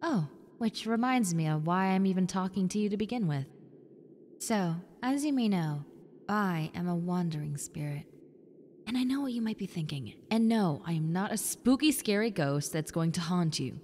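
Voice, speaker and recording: A woman voicing a friendly, needy young female ghost in an intimate roleplay, softly coaxing the listener with hesitant pauses. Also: on the low side, lively intonation, close to an everyday voice. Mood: coaxing, reassuring. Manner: soft, coaxing, hesitant pauses, sometimes near whisper. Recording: long reverb, clear background noise and ambience.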